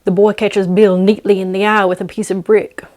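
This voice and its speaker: A woman's voice: medium pitch, little pitch movement, moderate pace, darkish tone. A woman storyteller narrating in a dramatic, scripted read-aloud style.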